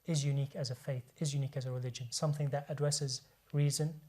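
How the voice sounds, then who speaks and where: A man's voice: mid-range voice, little pitch movement, fast, dark tone. Male guest, earnest explainer, mid-range voice, stumbles and restarts phrases. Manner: earnest and explanatory, often repeats words as he restarts. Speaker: guest speaker, medical doctor. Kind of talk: explaining religion in a studio discussion.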